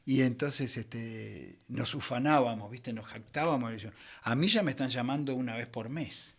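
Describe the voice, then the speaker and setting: A middle-aged Argentine man from Buenos Aires telling anecdotes conversationally as an interview guest, in an expressive, theatrical way. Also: mid-range voice, moderate pace, husky. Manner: expressive storyteller, acts out quoted dialogue. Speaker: interview guest, veteran voice actor.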